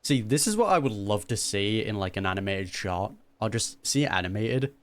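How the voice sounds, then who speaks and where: Chatty gaming streamer, mid-pitched voice. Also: animated, normal speed. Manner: chatty, musing. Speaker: streamer reacting to a game. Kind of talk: casual gaming commentary.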